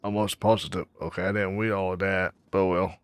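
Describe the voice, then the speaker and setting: A man doing a casual game playthrough, reading dialogue aloud in a low-key, drowsy way. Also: flat delivery, brisk, no echo. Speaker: gamer on a playthrough. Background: faint game music.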